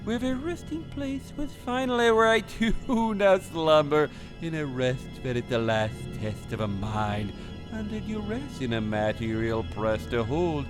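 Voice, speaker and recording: An actor playing a cackling ghostly storyteller trapped in a record, reciting rhymes with laughs slipped between the words, over a music bed. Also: male voice, a little high, animated, a little slow. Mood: gleeful sinister. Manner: rhyming, theatrical, laughing through lines. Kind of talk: radio play acting.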